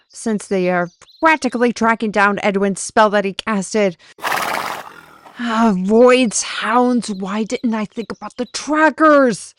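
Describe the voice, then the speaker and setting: A woman playing a spirited, caring adventurer in an audio drama, brisk, with salty folksy oaths. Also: medium pitch, clear, resonant tone, no echo, lightly acted. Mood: wry. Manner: colorful folksy oaths, addresses others as lad and lass. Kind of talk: audio roleplay drama acting.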